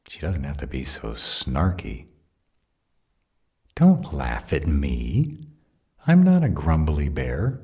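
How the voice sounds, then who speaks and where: Fantasy roleplay were-dragon, male actor: rumbling very low, husky, grumbly and easily sidetracked. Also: wildly swooping pitch, slow, long pauses, dark tone, no room sound, clean studio sound, exaggerated voice. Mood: curious, playful. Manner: grumbling, conversational asides. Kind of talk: audio roleplay fantasy drama.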